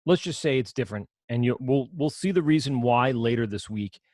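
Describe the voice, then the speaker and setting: Casting: man, low voice, casual rambling teacher walking through software, dry close sound. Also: animated, a little fast, no echo. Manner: casual, rambling, self-correcting explanations. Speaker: audio production teacher. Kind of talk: screen-share software lesson.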